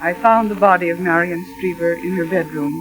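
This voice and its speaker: An actress playing an anxious, loyal secretary in 1940s radio drama, with a breathless, pleading, melodramatic delivery. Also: female voice, lowish voice, fairly quick, natural voice, rough recording. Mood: haunted, fearful narrating. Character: young woman secretary, anxious, loyal, fearful of murder. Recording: thin vintage 1940s radio recording, organ music.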